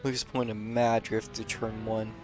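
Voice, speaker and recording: A man calmly commentating a sim race, calling driver positions and penalties with frequent fillers. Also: fairly low pitch, normal speed, a little hiss or hum. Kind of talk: live sim racing commentary.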